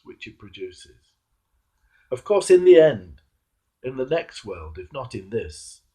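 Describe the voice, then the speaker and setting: Male cleric for a devotional reflection. Unhurried, soft-edged delivery, deliberate emphasis on key words. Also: medium pitch, low-quality recording. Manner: measured, emphatic, rhetorical questions. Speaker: Anglican clergyman reading a reflection. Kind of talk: devotional sermon, read aloud.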